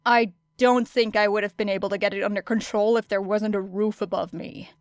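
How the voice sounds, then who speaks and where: A woman's voice: medium pitch, steady tone, a little fast, dry recording, close to an everyday voice. Woman playing a wry, grumbling teenage girl. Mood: grudging. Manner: dry, sardonic, grudging. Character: wry, grumbling teenage girl hero student testing gear. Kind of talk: audiobook character acting.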